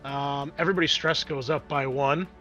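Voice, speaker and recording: A man acting as game master, narrating the action and explaining rules to players in an animated way. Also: medium pitch, normal speed, lo-fi sound. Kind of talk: narrating and refereeing a tabletop roleplaying game. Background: background music.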